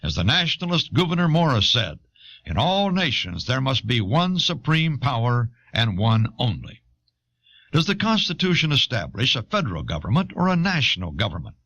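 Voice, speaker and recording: Male narrator for educational history audio; bright, highly inflected, measured delivery, dull cassette sound. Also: very animated.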